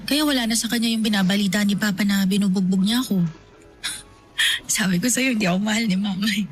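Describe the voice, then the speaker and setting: A woman's voice: mid-range voice, fairly quick, soft background music, close to an everyday voice. Woman voicing a wry, resigned young woman. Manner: wry, resigned, laughs off pain. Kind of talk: Filipino radio-style drama acting.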